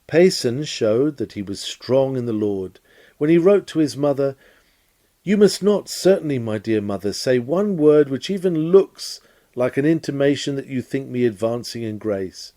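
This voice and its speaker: A man reading a scripted nineteenth-century sermon lecture aloud, with measured, emphatic oratorical phrasing and formal diction. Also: medium pitch, animated, mellow.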